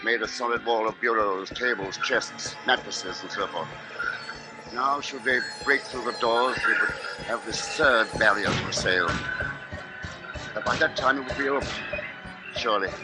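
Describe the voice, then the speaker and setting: An actor playing a grave, resolute middle-aged husband and father, narrating in measured, dramatic 1950s radio style. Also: medium pitch, natural voice, low-quality recording. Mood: grim, brooding. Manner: measured, brooding, dramatic delivery. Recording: vintage 1950s radio broadcast, loud music.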